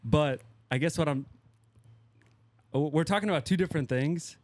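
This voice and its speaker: A man's voice: on the high side, normal speed, no room sound. Blunt American man, laughing and provocative. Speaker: podcast co-host. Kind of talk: casual chat.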